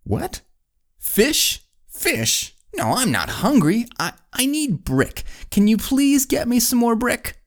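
Storyteller putting on a high voiced builder guy, hollering and repeating himself, exasperated, cartoony kids story style. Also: very animated, slow pace. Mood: confused, frustrated. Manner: shouting, repeats himself insistently. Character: exasperated male tower builder yelling down for materials.

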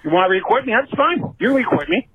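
A man's voice: sits high, sing-song, fast. A man arguing on a recorded phone call, confrontational and insistent, repeating himself and cutting in. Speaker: phone caller. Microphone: telephone. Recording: muffled, noisy recorded phone call played back.

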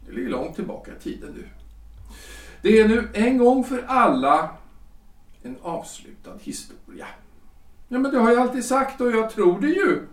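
An actor playing a self-important, flustered lawyer-politician husband in his forties in a period audio drama, halting and repeating himself. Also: extremely high pitch, big pitch swings, far from the mic. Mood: flustered, blustering. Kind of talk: period stage-play audio drama acting. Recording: roomy echo, off the mic.

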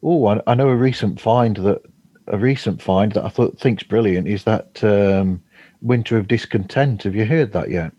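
A man chatting casually as a podcast host, halting and rambling, often chuckling. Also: on the low side, warm tone, husky. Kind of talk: casual remote chat. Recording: dull, roomy remote call audio.

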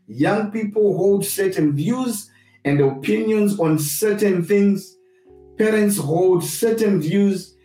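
A man from Zimbabwe with a Zimbabwean accent gives a reflective, sermon-like talk, deliberately restating his points for emphasis. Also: sits high, warm tone. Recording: roomy echo, distant mic, music behind.

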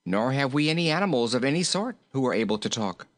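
A narrator voicing a dignified island king from a storybook, formal, polite and measured. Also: medium pitch, very animated, pitched above the performer's own voice, lightly acted. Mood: polite, curious. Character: courteous, dignified male island king and host.

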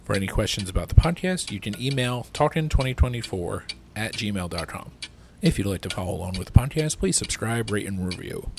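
Lowish male producer on a noisy recording. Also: animated, fairly quick. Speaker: podcast producer. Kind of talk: casual aside and credits announcement. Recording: clearly noisy recording with clicks.

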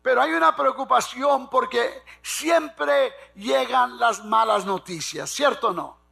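A man preaching a fervent sermon in an emphatic, repetitive, exhorting way, calling on the congregation to respond, with a gravelly, husky voice. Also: very high-pitched, slow, pushed and bright, soft background music.

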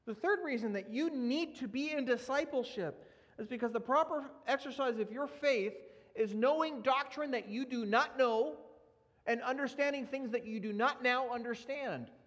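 A man's voice: extremely high pitch, normal speed, a bit bright. Man preaching in a reverberant hall. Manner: earnest, emphatic preaching with scripture readings. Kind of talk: sermon. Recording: long reverb of a large hall.

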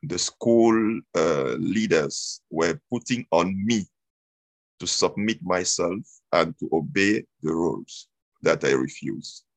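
A man's voice: deep voice, expressive, takes it slowly, creaky, dry recording. A man, telling his story as an interview guest, hesitant with many fillers and restarts. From Cameroon.

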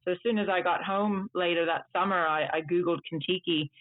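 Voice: medium pitch, steady tone, brisk, no room sound. A native Dutch speaker in their thirties, a tour trip manager, chatting at length in an interview over a video call. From Netherlands and United States. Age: 30s. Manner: chatty, long rambling answers. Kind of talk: casual interview storytelling. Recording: tinny phone-like line, dry.

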